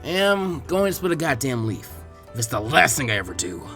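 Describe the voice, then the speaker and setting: A man's voice: mid-range voice, big pitch swings, brisk, sharp tone, soft background music. A man voicing a brash, hot-headed teenage ninja boy in a boisterous, cocky manner. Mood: determined grumbling.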